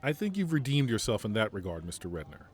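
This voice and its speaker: Man acting formal, commanding army general, lively pitch. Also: slightly rough, faint music behind. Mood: dry, commanding. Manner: formal, measured, deliberate speech. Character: stern, principled post-apocalyptic army general, composed and formal. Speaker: military general giving a radio interview. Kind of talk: audio drama acting.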